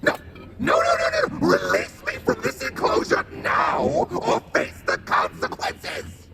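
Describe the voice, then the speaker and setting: Casting: bombastic game ghost villain, shrieking highs to growls, taunting and grandiose. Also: male voice, sing-song, unhurried, vocal fry, background noise, full character voice. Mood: raging. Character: gloating, raging villainous male ghost with grandiose threats. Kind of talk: video game cutscene acting.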